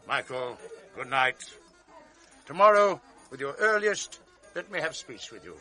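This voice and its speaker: An actor playing a commanding general, measured and authoritative, speaking from a distance in a classic radio drama. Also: male voice, mid-range voice, big pitch swings, sharp tone, faint music behind. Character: commanding, newly married male general.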